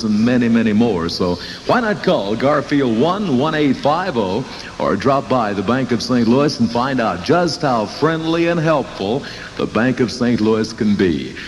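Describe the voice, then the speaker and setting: A man with an American accent hosting a 1960s Top 40 radio show, chatting folksily to listeners and reading spots. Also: mid-range voice. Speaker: radio disc jockey. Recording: 1960s radio aircheck, hissy, long reverb.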